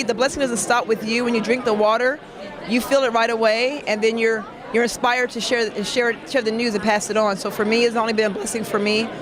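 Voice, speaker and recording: A woman from California giving an excited, repetitive testimonial. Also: a little high. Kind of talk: testimonial statement. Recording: busy crowd behind.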